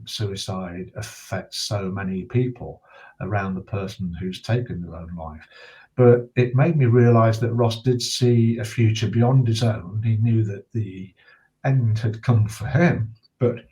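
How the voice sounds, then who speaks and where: A middle-aged man speaking as an interview guest, giving serious personal testimony in a measured, halting way with deliberate pauses. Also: on the low side, dark tone, rough recording. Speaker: interview guest, bereaved father and campaigner.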